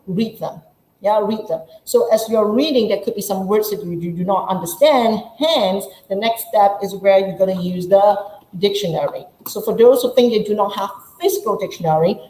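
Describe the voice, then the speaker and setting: A woman's voice: medium pitch, darkish tone, reverberant, rough recording. A woman English teacher from Malaysia with a Malaysian English accent, giving a chatty workshop lesson and playing out her students' questions.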